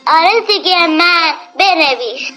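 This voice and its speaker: A performer playing a bold, chatty, self-admiring little girl in a radio drama, with naive childlike phrasing and Iranian Persian speech. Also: extremely high pitch. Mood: cute, boastful. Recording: music bed behind the voice.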